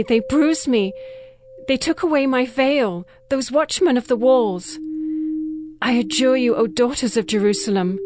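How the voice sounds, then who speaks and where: A woman's voice: on the high side, darkish tone, a little hiss or hum. A woman playing a lovesick young bride who yearns for her beloved, in a tender, poetic scripture drama. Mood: anguished. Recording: music bed behind the voice.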